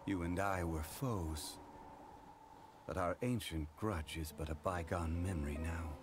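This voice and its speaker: An actor playing a calm, solemn ancient man, a wise former god in a video game, who speaks in a formal, measured, reflective way. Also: deep voice, over music. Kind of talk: English game voice acting, story dialogue.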